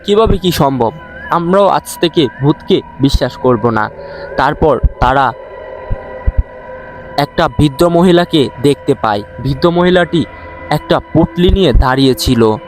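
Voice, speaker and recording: Halting male storyteller, higher-pitched voice. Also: lively intonation, rough recording. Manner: halting, often repeats words. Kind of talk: scripted horror story narration. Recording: music behind the voice. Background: music.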